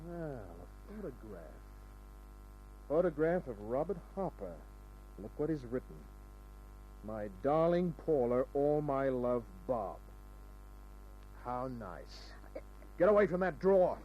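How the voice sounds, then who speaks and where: An actor playing a tough, sardonic private detective in a clipped old-time radio crime style, on a noisy old broadcast. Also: male voice, medium pitch, big pitch swings, takes it slowly, long pauses, lo-fi sound. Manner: hard-boiled, sardonic, clipped. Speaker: actor playing the lead and narrator.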